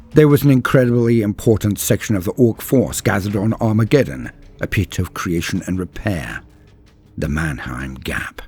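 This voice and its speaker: Deep, gravelly-edged male lore narrator. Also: darkish tone. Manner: grave, measured, theatrical storytelling. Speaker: narrator of a fan lore video series. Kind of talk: dramatic scripted lore narration. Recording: music behind the voice. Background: music.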